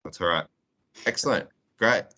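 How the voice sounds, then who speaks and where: A man's voice: low voice, lively intonation, normal speed, bright, forceful tone, dull audio. A man, teaching an online class, friendly and chatty, joking with his students as he calls on them. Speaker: English teacher. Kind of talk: online class roll call.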